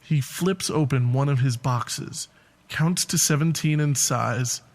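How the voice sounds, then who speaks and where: A man's voice: medium pitch, slow pace. A man giving a literary reading of poetic prose. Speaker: reader of a literary piece.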